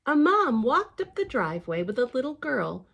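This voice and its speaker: Female children's storyteller: slightly high, hugely varied pitch, expressive read-aloud with chatty asides. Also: moderate pace, creaky. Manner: theatrical and expressive, with gasps and hushed asides.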